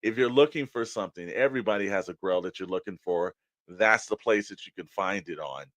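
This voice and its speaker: A man co-hosting a podcast, chatting casually and pitching straight to the listeners in a conversational way. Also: fairly quick, a bit bright, no room sound. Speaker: podcast co-host. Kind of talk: casual promotional podcast chat.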